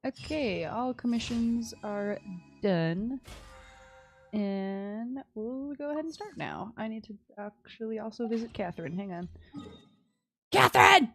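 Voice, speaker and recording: Playful, slow-paced woman streaming a game. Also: a little high, very animated, gravelly. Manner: playful, chatty, murmurs little sound words to self. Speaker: live streamer. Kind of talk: casual gameplay stream chat. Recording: game music behind the voice. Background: soft video game background music.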